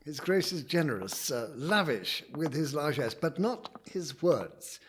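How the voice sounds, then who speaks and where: A man playing a discreet, formal manservant in a period audio drama, courteous and quietly cautioning. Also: a little high, lively intonation, measured pace, a little hiss or hum.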